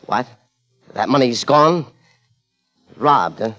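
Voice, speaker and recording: An actor playing a guarded, politely hesitant investor questioned by police in a 1950s radio crime drama. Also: male voice, mid-range voice, lively intonation, slow pace, fairly bright tone. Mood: uneasy, rueful. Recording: muffled vintage radio broadcast, music.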